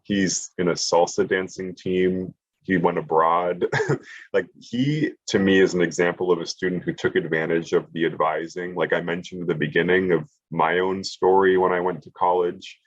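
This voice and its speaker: Guy running a college prep zoom workshop, deep raspy voice, kinda muffled dry audio. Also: no room sound. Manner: casual, lots of fillers and restarts. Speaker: college admissions presenter. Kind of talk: online workshop presentation.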